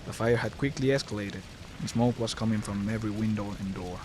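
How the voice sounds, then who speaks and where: A man's voice: fairly low pitch, fairly quick, noisy background. A man narrating a scripted horror story as the narrator, recorded close to the mic.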